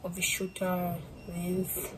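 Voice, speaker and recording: A woman's voice: on the low side, monotone, slow, noisy background. A young woman from Zambia vlogging casually to the camera indoors, rambling with lots of "like" fillers. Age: young adult. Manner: chatty, rambling, many fillers like "like". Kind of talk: casual vlog talk to camera. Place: bedroom, indoors.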